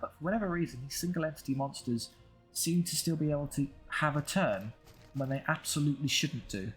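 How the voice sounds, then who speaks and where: A man's voice: medium pitch, rough recording. A man giving casual, understated commentary on his strategy game playthrough, thinking aloud and dryly talking to his units. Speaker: gaming video creator commentating his own playthrough.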